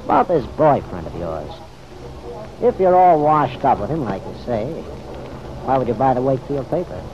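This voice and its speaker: A man playing a persistent, easygoing American police detective who needles in unhurried slang, in an old-time radio drama. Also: medium pitch, big pitch swings, close to an everyday voice. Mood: pressing. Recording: muffled, noisy vintage radio recording.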